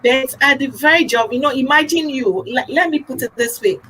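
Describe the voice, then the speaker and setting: A woman's voice: sits high, moderate pace, a bit bright, a little hiss or hum, poor audio. A young Nigerian woman talking casually about herself as a guest, often repeating phrases for emphasis. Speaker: talk show host appearing as guest. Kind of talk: casual personal talk.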